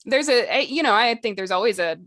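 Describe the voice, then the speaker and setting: A woman's voice: a little high, animated, a little fast, a bit bright, no echo, studio quality. A woman hosting a podcast, talking informally and conversationally about the craft of writing. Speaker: podcast host. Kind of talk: casual discussion of writing craft.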